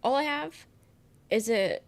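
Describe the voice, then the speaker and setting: A young woman talks loosely to her stream audience while she is sick. Her voice is nasal with a gravelly fry underneath, and her speech wanders as she reads chat questions and answers them. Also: a little high, animated, slow. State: sick, congested voice. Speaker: livestreamer. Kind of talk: casual chat with viewers.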